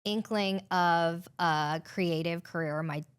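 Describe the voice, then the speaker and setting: A woman's voice: on the low side, fairly even intonation, slow pace, clean tone. A woman in her forties co-hosting a podcast, chatting casually and telling a personal story full of "like" fillers. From Hawaii and California. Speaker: podcast co-host.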